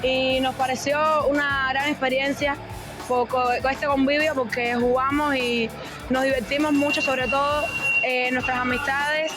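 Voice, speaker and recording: A woman from Matanzas with a Cuban Spanish accent, a basketball player, speaking in an even, quick way. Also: high voice, over music. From Matanzas, Cuba. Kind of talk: casual interview testimonial.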